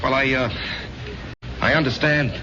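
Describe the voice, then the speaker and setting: An actor playing a troubled, resigned ex-soldier in a 1970s radio drama, speaking haltingly and evasively. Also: male voice, mid-range voice, steady tone, lightly acted. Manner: hesitant, halting. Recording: muffled, noisy old radio broadcast.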